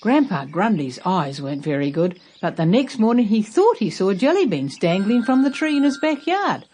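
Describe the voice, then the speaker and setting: Female storyteller for children's picture books, highly varied intonation, expressive narration. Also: moderate pace, breathy edge. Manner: expressive, emphatic. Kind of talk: animated children's read-aloud narration.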